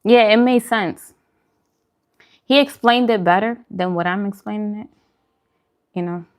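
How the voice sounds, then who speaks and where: A woman's voice: mid-range voice, unhurried, clean tone. A woman chatting casually as a vlog host, informal and conversational, often repeating phrases for emphasis. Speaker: host of a food and vlog channel. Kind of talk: casual eating-show chat answering viewer questions.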